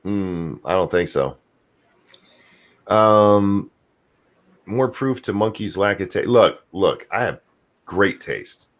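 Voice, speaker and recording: Man, very deep voice, low pitch, lively rising and falling intonation, casual profane rambling, co-host. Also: takes it slowly, pauses often. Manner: profane, rambling, trails off searching for names. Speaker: podcast co-host. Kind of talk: casual livestream chat.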